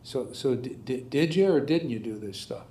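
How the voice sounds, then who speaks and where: A man's voice: medium pitch, animated, dark tone. Stern American male judge, echoey room. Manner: halting, stern. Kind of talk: questioning and admonishing from the bench. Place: indoor courtroom.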